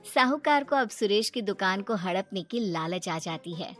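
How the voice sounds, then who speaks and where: A woman's voice: medium pitch, lively intonation, normal speed, soft background music. A woman narrating a scripted moral story for children.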